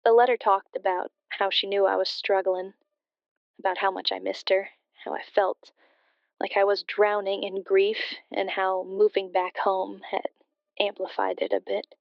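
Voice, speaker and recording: Casting: actress as grieving female radio caller, hesitant, even intonation, smooth clean tone, slightly dull phone-in sound. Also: medium pitch, moderate pace, dry recording, lightly acted. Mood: hesitant, wistful. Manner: hesitant, subdued. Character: grieving, hesitant daughter phoning a late-night radio show. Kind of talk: confessional storytelling in radio drama. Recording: muffled, band-limited phone-in sound.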